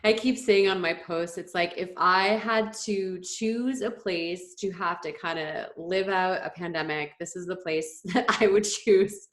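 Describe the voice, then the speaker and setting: A woman's voice: lowish voice, unhurried, hardly any pauses. A woman hosting a casual interview podcast, upbeat and enthusiastic, laughing easily as she talks. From Canada. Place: home in a small coastal community.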